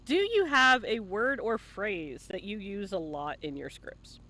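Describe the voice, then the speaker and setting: A man's voice: high voice, expressive, unhurried, bright, forceful tone, slight background noise. A man hosting a casual live-stream interview, asking questions and reacting informally to the answers.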